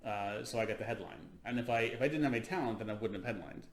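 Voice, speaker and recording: Male host, low-ish voice, quick rambling chat, echoey room. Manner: fast, rambling, lots of fillers and restarts. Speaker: podcast host, comedian. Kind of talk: casual interview chat. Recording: roomy echo.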